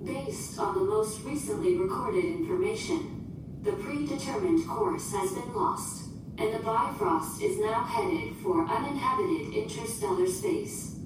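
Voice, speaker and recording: A woman playing a literal, formal and emotionless ship computer in a science fiction audio drama, speaking in measured, precise official phrases. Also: on the high side, sing-song. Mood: flat, compliant. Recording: echoing, distant, noisy ship ambience.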